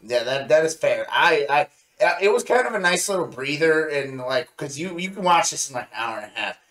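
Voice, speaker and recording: A man's voice: fairly high pitch, animated, brisk, fairly bright tone. A man chatting casually as co-host of a review show, recounting scenes in an enthusiastic, rambling way. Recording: echoey, roomy sound.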